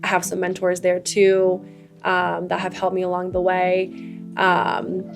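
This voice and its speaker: A woman's voice: fairly low pitch, monotone, unhurried, creaky, soft background music. A young woman whose first language is Farsi, co-hosting a podcast in a casual, earnest chat and speaking eagerly about fitness. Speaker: podcast co-host.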